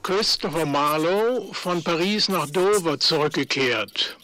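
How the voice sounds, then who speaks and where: An actor playing a formal writer reading out a written record, measured and deliberate, as scripted radio drama narration. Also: male voice, fairly high pitch, animated, over music.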